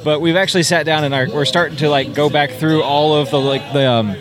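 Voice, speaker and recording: A man's voice: fairly high pitch, fairly even intonation, a little fast. Chatty man talking over music. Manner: rambling, chatty, laughs often. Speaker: guest vendor. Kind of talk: casual chat. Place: convention pavilion, outdoors.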